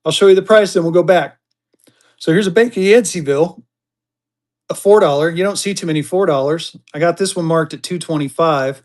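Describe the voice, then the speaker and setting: A fairly high-voiced man hosts a live auction stream in casual banter. He chats along with easy drawling asides, then jumps up in pitch and volume to cheer his viewers on. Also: fairly quick. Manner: excitable hype bursts, chatty, drawling asides. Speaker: livestream auction seller.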